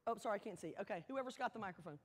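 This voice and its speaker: A woman's voice: mid-range voice, expressive, very fast. A woman hosting a live event, speaking to an audience in an encouraging, direct way and prompting them to take part. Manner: encouraging, direct, asks questions of the crowd. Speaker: host, speaker leading an audience. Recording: echoey hall with audience noise.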